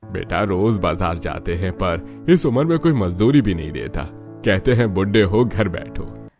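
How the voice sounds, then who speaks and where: A man's voice: low voice, lively intonation, unhurried, a little mellow. A man playing a weary, kindly old father-in-law in a Hindi cartoon story, with a sighing, plaintive manner. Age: older. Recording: music behind the voice.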